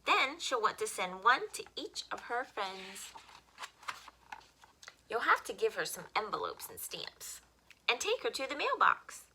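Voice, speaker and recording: A woman reading picture books aloud to young children as a story time host, with expressive, simple phrasing. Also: on the high side, measured pace, noisy background.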